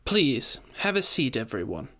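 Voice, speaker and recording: A man voicing a grieving, secretive high-society widow in an audio story, with a genteel, dramatic, pleading manner. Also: very animated, a little slow, bright, forceful tone. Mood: polite, troubled. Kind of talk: audio fiction character dialogue.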